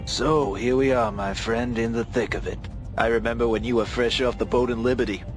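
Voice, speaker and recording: A world-weary, wry comrade in arms played by a male actor with a medium-pitched voice. He talks familiarly in game cutscene style, music clearly behind. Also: steady tone, fairly quick, close to an everyday voice. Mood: musing. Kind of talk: scripted game cutscene acting.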